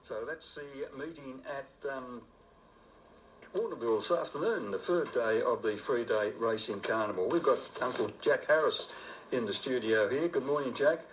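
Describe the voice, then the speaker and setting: An older Australian man presenting community radio, chatting live in an easygoing, gentle, halting and rambling way. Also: mid-range voice, echoey room, a little hiss or hum, rough recording.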